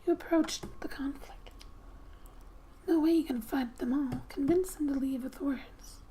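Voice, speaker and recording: A woman speaking softly and breathily in gentle ASMR style, often slipping into a whisper as she reads a game story aloud with casual asides. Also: sits high, unhurried, a little hiss or hum, poor audio. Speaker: gaming ASMR creator. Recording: quiet background music. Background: soft music.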